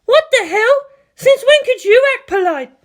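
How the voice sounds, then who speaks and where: A woman voicing an excitable, image-conscious teenage big sister with a shrill, strained manner. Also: moderate pace. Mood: screeching, furious.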